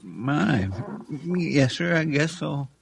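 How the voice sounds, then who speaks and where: An actor playing a poor, good-natured marshal's helper in an old-time radio western, folksy and plaintive, with a rural American accent. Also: male voice, a little high, expressive, slow pace, creaky, background noise, heavily acted. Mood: hesitant.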